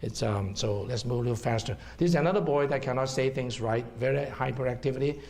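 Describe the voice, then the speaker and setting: A man's voice: medium pitch, fast talker, gravelly, a little mellow. A middle-aged man with a Hong Kong accent, a herbal doctor giving a seminar talk and explaining to the audience, checking in with "okay?". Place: seminar room.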